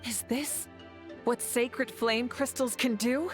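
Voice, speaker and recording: A woman's voice: a little high, fairly even intonation, slow. A woman voicing a poised, earnest leader in an anime-style game, with music behind her. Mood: grave wonder.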